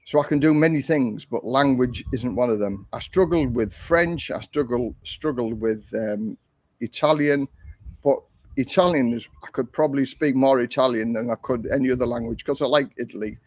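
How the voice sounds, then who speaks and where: An older man, hosting a casual live stream and answering viewer questions in a rambling, chatty way, with a voice he calls monotone. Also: medium pitch, moderate pace, dry recording. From England. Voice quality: self-described monotone voice. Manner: rambling, chatty, with frequent ums and restarts. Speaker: livestream host.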